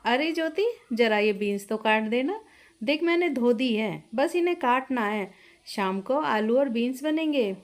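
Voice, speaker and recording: A woman's voice: on the high side, lively intonation, a little slow. A woman voicing a casual, coaxing sister-in-law who passes chores on in a family story.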